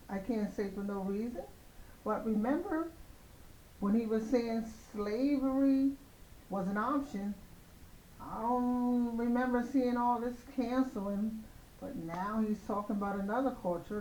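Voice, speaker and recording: Female commentary host, soft-edged mellow tone with slight hoarseness, casual opinionated delivery, roomy echoing sound. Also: a little high, a little hiss or hum.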